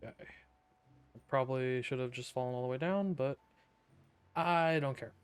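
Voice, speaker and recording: A man giving casual, sarcastic live commentary as he plays a game, with a deadpan manner. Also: mid-range voice, normal speed, smooth. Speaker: game streamer. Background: game music.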